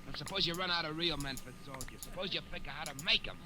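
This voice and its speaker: An actor in an old-time radio drama playing a gossipy, streetwise soldier. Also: male voice, a little high, fast talker, close to an everyday voice. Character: gossipy, slangy rank-and-file soldier. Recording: old radio recording, noisy.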